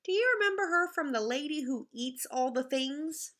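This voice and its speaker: A woman reading a picture book aloud in the manner of a teacher, expressive and explaining things simply to children. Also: sits high, moderate pace. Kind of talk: animated children's read-aloud with asides to the listeners.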